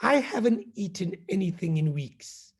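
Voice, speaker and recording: A man's voice: high-pitched, expressive, normal speed, breathy edge, exaggerated voice. A man voicing a large, hungry buffalo who pleads politely for food, in a storybook reading. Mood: pleading.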